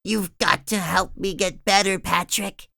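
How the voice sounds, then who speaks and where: Woman voicing sick cartoon sponge boy: very high, nasal, stuffy, slow delivery, dry read. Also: fairly even intonation, vocal fry, pushed and bright, no echo. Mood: sick, pleading. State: sniffly with a cold. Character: cheerful young male sea sponge, eager. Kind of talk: storybook read-aloud.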